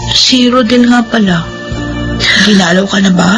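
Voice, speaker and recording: Woman playing a gentle best friend comforting her pal, music behind. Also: medium pitch, measured pace, lightly acted. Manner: gentle, consoling. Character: caring young female best friend. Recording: radio drama with music underneath.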